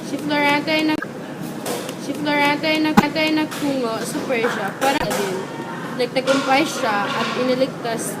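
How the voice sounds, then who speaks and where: A woman acts as a high-pitched female storyteller in an amateur radio drama. She narrates a hero's trials haltingly, repeating phrases as she reads, through very heavy background noise. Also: steady tone. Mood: storytelling. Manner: stumbling, repeats phrases as if reading. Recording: very noisy amateur recording.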